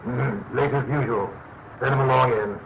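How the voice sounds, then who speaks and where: Man acting older spy boss, dry, exacting, deliberate, radio drama, tinny narrow recording. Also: medium pitch, vocal fry, close to an everyday voice. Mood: dry, reproachful. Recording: muffled phone-like audio with faint noise.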